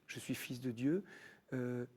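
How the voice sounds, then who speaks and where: A man's voice: mid-range voice, slow, husky. A man, a musician guest in a studio, telling a personal faith testimony and acting out the voices he quotes. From France. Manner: animated storyteller, acts out quoted voices. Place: TV studio set.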